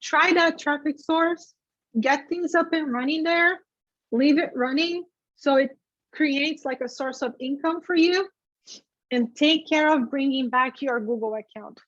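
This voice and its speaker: A woman's voice: sits high, a little slow, fairly bright tone, no room sound. A woman who is a native Portuguese speaker, presenting an informal instructional webinar in a conversational, emphatic way, often checking in with listeners. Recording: dull, lo-fi webinar audio.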